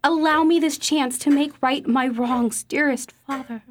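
A high, young female voice from an actress plays a dutiful Victorian daughter in a radio play. She is meek and anxious, beseeching in formal, old-fashioned words over faint background noise. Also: measured pace. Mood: pleading. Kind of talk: theatrical radio drama acting.

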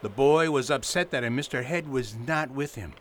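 An actor playing a stern, protective mountain guide in a radio drama, urgent and commanding. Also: male voice, medium pitch, normal speed, a bit bright, a little hiss or hum, close to an everyday voice. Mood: stern, wary.

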